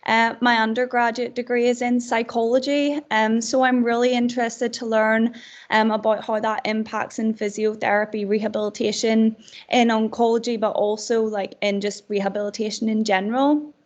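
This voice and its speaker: A woman's voice: fairly high pitch, level tone, moderate pace, lo-fi sound. A young woman with a Northern Irish accent giving an informal student self-introduction on a video call. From Belfast, Northern Ireland. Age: young adult. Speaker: physiotherapy student.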